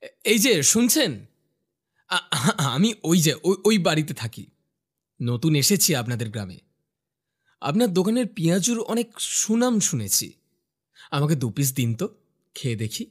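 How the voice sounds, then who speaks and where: Man playing a curious young villager newcomer, animated. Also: fairly high pitch, normal speed, long pauses. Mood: polite. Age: young adult. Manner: musing, questioning, expressive. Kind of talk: horror cartoon dialogue and inner monologue. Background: faint music.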